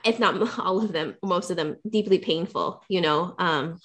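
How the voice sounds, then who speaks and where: A woman's voice: lowish voice, measured pace, a bit bright. Woman host, loose and searching delivery, many restarts. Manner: rambling, searching, laughs often. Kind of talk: conversational discussion.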